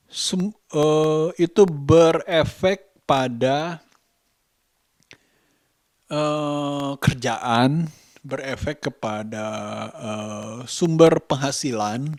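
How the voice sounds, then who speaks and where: A man giving his opinions in a casual vlog, speaking deliberately and often searching for words. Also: fairly high pitch, no echo, studio quality. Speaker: vlogger, host.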